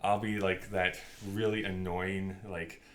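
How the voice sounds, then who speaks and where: A man's voice: deep voice, flat delivery, measured pace. A man in a casual conversational discussion, speaking in an emphatic, opinionated and blunt way as a podcast co-host. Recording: clearly noisy background ambience.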